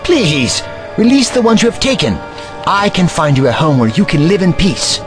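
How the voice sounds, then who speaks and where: Guy doing a fretful eccentric time traveller, dramatic, super animated, audio drama with music under. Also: sits high, normal speed. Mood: urgent.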